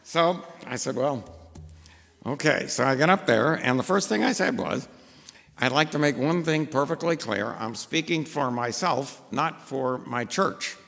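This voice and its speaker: An older male pastor with a gravelly voice, preaching into a pulpit microphone. He talks in a rambling, anecdotal way full of "uh"s, and his voice rings with long church reverb. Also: mid-range voice, animated, measured pace, fairly bright tone. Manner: rambling, anecdotal, with frequent fillers. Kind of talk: sermon with personal stories. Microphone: gooseneck pulpit mic.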